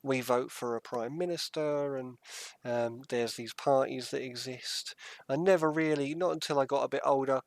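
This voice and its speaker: A British man chats easily as a podcast co-host, joking and tossing in fillers. His voice sits at a middle pitch. The sound is dry and close to the mic. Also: steady tone, normal speed, no room sound. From United Kingdom. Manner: jokey, easygoing, with fillers. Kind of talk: casual chat.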